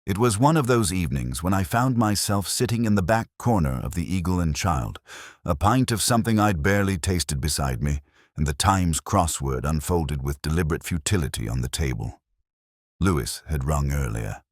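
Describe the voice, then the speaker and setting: A man with a British accent narrating a detective story in the first person, measured, brooding and wry. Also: low-pitched, lively intonation, no room sound, studio quality. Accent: British English.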